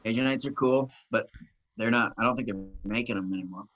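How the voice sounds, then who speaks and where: Chatty, excitable man co-hosting a show. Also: medium pitch, fairly quick, lo-fi sound. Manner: excitable, chatty, swears casually. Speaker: podcast co-host. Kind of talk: casual chat.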